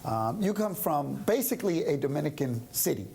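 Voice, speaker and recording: A quick-talking man hosts a panel discussion, keeping things light with jokes. His pitch swings widely as he talks. Faint ambience hums behind him. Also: creaky, slight background noise. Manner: joking, lively, quick questions. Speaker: host. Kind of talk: panel talk show hosting.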